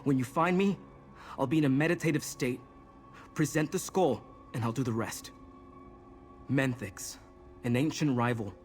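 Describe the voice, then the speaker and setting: An actor playing an earnest, resolute young mage in a video game, explaining things intently. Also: male voice, medium pitch, normal speed, pauses often, soft background music, close to an everyday voice. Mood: urgent, resolute. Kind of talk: video game character dialogue.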